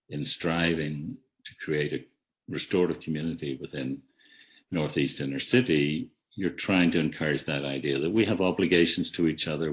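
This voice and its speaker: Very deep-voiced man giving a measured academic talk. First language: not an Irish speaker. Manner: measured, reflective, with frequent restarts and trailing off. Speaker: webinar speaker. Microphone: video call.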